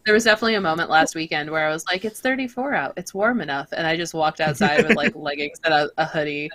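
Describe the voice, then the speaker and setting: A woman chatting casually with friends, giggly and animated as she tells stories. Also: deep voice, quick pace. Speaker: cast member. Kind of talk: casual chat.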